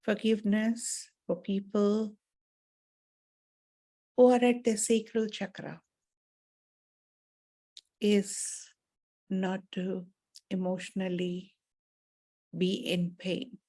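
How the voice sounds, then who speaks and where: A woman leading a guided meditation and spiritual talk in a measured, soothing manner, pausing often and deliberately between phrases. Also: medium pitch, little pitch movement, dark tone.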